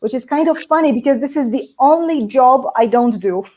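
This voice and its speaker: A woman's voice: high voice, lively intonation, a little fast, darkish tone, poor audio. A young adult woman from Poland, a native Polish speaker, chatting as a guest on a live stream with frequent laughs and fillers, speaking over a video call from an office. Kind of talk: casual live-stream chat. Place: translation agency office.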